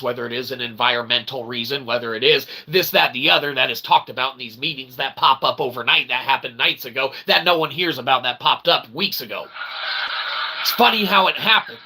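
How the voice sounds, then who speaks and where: A man's voice: mid-range voice, pushed and bright, breathy edge. A man hosting a livestream rant, profane, emphatic and repetitive, with wordy, mock-formal phrasing. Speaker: host of a livestream rant show.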